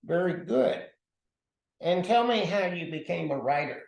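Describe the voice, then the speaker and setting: Interviewer, man, gravelly, brief courteous questions, echoey room. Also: a little high, expressive, a little slow. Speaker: interviewer for an arts group.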